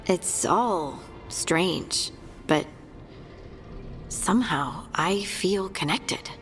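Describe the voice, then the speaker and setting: A woman's voice: on the low side, very slow, a bit bright, soft background music. A woman playing an earnest young dwarf scout in a fantasy video game, sincere and a little hesitant. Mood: wondering. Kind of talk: scripted video game dialogue.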